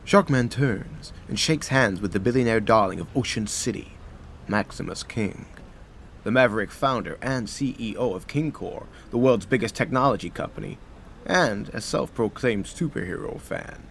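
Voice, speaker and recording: A man's voice: on the low side, expressive, normal speed, gravelly, fairly bright tone, slight background noise. A man playing a dramatic storytelling narrator in a superhero radio play. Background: music.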